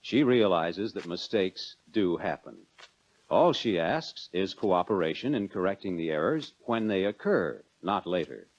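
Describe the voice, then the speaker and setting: An American man narrating a 1940s instructional film in a measured, instructive manner, addressing the viewer directly. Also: deep voice, animated. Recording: dull lo-fi 1940s film soundtrack.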